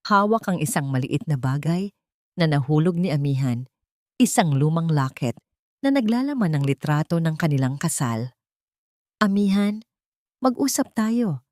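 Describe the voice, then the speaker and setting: A woman's voice: deep voice, animated, moderate pace, a little mellow, no room sound, clean studio sound. A woman narrating a scripted dramatic story in an audiobook style.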